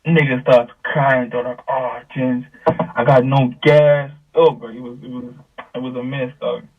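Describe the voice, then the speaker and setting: Husky young South African man, phone-like call. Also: mid-range voice, unhurried. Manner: slangy, animated retelling with quoted dialogue. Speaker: music artist, interview guest. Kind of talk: casual storytelling chat. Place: remote video call. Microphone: video call. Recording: thin phone-like video call, distant.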